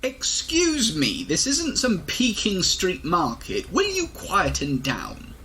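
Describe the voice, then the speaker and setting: A man's voice: high voice, wildly swooping pitch, slow, noisy background, heavily acted. An actor playing a rude, pompous, bigoted older man who speaks condescendingly in an audio drama. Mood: indignant. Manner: haughty, condescending.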